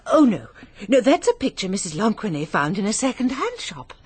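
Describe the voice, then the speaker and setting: Prim nervous English lady's companion, middle-aged, swooping intonation, slightly husky, effusive, radio play. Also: medium pitch, big pitch swings, fairly quick, darkish tone. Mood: earnest, admiring. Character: nervous, genteel, chatty spinster lady's companion. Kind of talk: radio drama acting.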